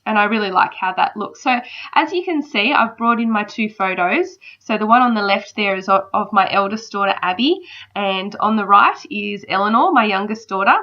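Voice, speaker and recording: A woman's voice: medium pitch, normal speed. A woman in her thirties with a slight cold, chatting casually in a voice-over. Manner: chatty, relaxed, frequent ums. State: battling a cold. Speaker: crafter narrating a process video. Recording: slightly dull lo-fi sound.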